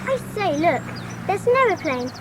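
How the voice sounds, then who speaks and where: Sensible child: very high, lively, sceptical and chatty, faint hum behind. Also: female voice, normal speed. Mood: alarmed. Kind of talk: dramatised audiobook acting.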